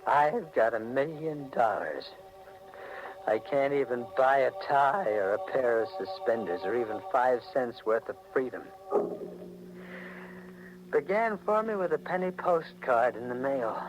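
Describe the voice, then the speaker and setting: A man's voice: mid-range voice, animated, unhurried, over music, lightly acted. An actor playing an obsessive, stubborn, haunted married man, telling his story in intense, melodramatic 1940s radio style. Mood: brooding, bitter. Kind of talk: 1940s radio drama acting, confessional narration. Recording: noisy 1940s radio recording.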